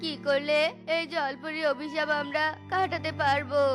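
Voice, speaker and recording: Lady doing a high eager little bird, pleady and earnest, music behind. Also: level tone, normal speed, close to an everyday voice. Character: eager trusting young bird, leader of the flock.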